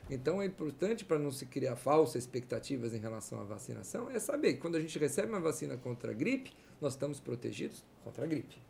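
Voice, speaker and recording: A man's voice: mid-range voice, very animated, fast talker, gravelly, few pauses, a little mellow, a little hiss or hum. A man, a guest on a TV health talk show, explaining and listing examples.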